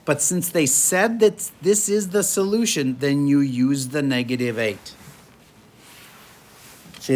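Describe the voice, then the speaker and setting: A male math teacher lecturing a classroom in a chatty, joking way while losing his voice. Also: mid-range voice. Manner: chatty, jokey, moves around the room.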